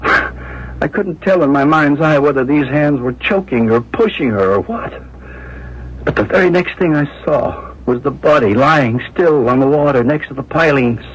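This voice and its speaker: A tormented man on the witness stand in a 1970s radio play, husky and faltering. He speaks with theatrical intensity through a dull, old broadcast sound. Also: fairly high pitch, normal speed. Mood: anguished. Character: distraught male witness, tormented and confessional. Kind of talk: 1970s radio drama acting.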